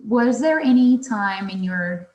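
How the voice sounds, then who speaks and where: A woman's voice: medium pitch, expressive, slow, a little mellow, roomy sound, slight background noise. A woman from Turkey moderating an online panel, asking thoughtful open questions.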